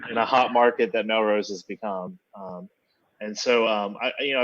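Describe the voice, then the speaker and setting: A man giving measured, halting remarks as a neighborhood association president at a public meeting. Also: lowish voice. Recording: dull, lo-fi online meeting audio.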